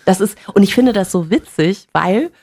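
A woman in her forties, a television presenter telling lively stories in a casual interview, laughing often and using many fillers. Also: mid-range voice, moderate pace. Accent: none, standard German with slight Berlin touches. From Bavaria. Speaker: TV presenter guest.

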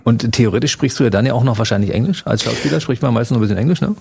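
A male host who talks quickly, with a gravelly and slightly husky voice. He asks his guest friendly questions to keep him talking about his life. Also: mid-range voice, darkish tone. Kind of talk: casual interview.

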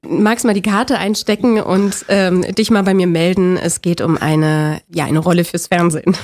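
A woman in her forties from Berlin, a guest talking openly and warmly, often with fillers and laughter. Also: lowish voice, steady tone, normal speed. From Berlin, Germany. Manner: open, friendly, laughs often, many fillers. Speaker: actress, guest. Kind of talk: casual interview chat.

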